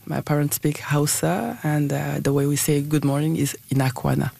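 Middle-aged woman, West African French accent, gravelly. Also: low voice, warm tone. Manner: halting, searching for words. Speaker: interview guest, election candidate. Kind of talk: conversational interview.

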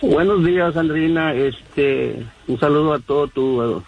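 Caller: husky man, rambling, lots of fillers, on a phone line. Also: fairly high pitch, measured pace. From Mexico. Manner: rambling, many fillers and restarts. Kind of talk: phone-in radio call.

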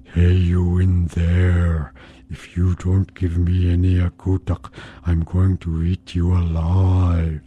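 A man voicing a menacing disguised male creature at the door, speaking slowly and threateningly. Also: low-pitched, completely flat delivery, breathy edge, narrow, tinny audio, heavily acted. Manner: slow, drawn-out and threatening.

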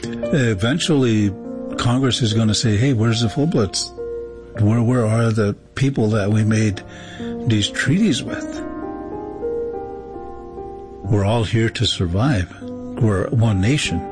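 A man's voice: lowish voice, slow, long pauses, over music. A man from South Dakota speaking earnestly and emphatically as an interviewee. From Pine Ridge Reservation, South Dakota.

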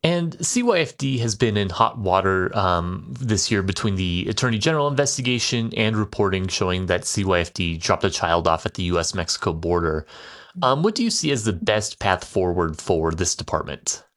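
Deep-voiced male interviewer. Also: animated, normal speed, fairly bright tone. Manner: hesitant with fillers. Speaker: radio interviewer. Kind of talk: interview questions.

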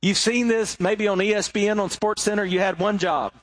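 A middle-aged male pastor preaching a sermon, swinging between rising emphasis and hushed, low moments. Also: fairly even intonation, fairly quick, no room sound.

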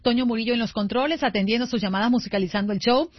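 A woman's voice: fairly high pitch, racing, slightly rough, no room sound, dull audio. A woman hosting a live radio show from a studio, moving between upbeat banter and headline reading. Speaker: radio show host and news headline reader. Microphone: close studio microphone.

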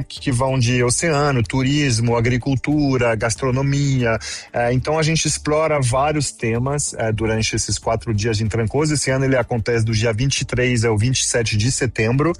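A man's voice: mid-range voice, no echo. Guy being interviewed, mid voice, keeps saying né and eh, lists stuff out, pretty passionate. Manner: fills with "né" and "eh", lists ideas in chains; passionate, explanatory. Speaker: interview guest, event organizer. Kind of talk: conversational interview answers.

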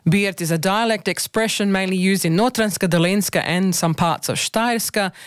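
A woman's voice: medium pitch, normal speed, smooth, no room sound, clean studio sound. Woman reading a radio word segment. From Australia. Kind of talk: scripted reading on community radio.

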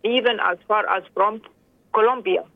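A middle-aged woman talks as a guest over the phone, hesitant and full of fillers. Her voice is gravelly and sits at a middle pitch. The line is tinny and dry. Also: normal speed, no echo. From Sudan. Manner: hesitant, many fillers and restarts. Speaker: interview guest. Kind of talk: phone interview.

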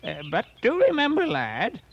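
An actor voicing a gentle, cautious older animal neighbour, fatherly and hesitant, in a cartoonish audio drama. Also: mid-range voice, huge pitch swings, normal speed. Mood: gentle caution. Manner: hesitant, stammering, mild admonishing. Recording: dull lo-fi sound, faint noise.

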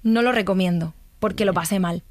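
A woman's voice: medium pitch, a little fast, darkish tone, no room sound, clean studio sound. A woman from Murcia with a Spanish accent from Spain, a psychologist telling personal stories in casual conversation. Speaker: psychologist, guest on the show.

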